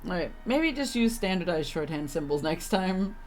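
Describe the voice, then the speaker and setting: A woman's voice: lowish voice, expressive, moderate pace, a little mellow, slight background noise. A young woman livestreaming a game in casual chat, with a playful, sarcastic manner. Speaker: game streamer.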